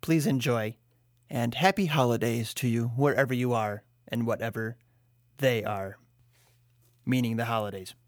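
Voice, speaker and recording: A man's voice: mid-range voice, moderate pace, long pauses, dry recording, studio quality. Male host, mid-range voice, casual introductory talk, very little room sound. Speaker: show host and comedy creator.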